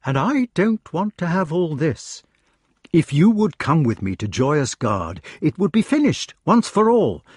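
Storybook knight, ardent pleading lover played by a man: husky, highly animated, miserable and impassioned. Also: a little slow, few pauses, dry recording, clean studio sound, heavily acted. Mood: desperate, pleading. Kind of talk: audiobook character dialogue.

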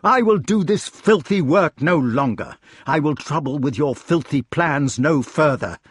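Guy doing a betrayed old king, wretched and impassioned, raspy, swoops around a lot, dry storybook recording. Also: mid-range voice, very animated, measured pace, dry recording. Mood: raging, anguished. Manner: impassioned, declamatory, pleading. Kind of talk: dramatic audiobook character reading.

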